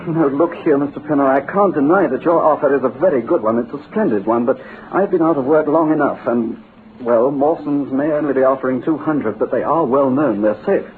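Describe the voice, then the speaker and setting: An actor playing an earnest, eager young British clerk, polite and slightly hesitant, in old-time radio drama style. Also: male voice, medium pitch, brisk, lightly acted. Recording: tinny band-limited old radio recording, hissy.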